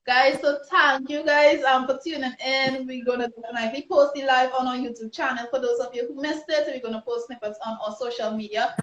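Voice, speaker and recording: A woman's voice: high-pitched, fairly even intonation, a little fast, hardly any pauses, fairly bright tone. A woman with a Trinidadian accent hosting a live online interview, upbeat and chatty, often restarting her sentences. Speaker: host. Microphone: phone or laptop on a video call. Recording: roomy, dull lo-fi livestream audio.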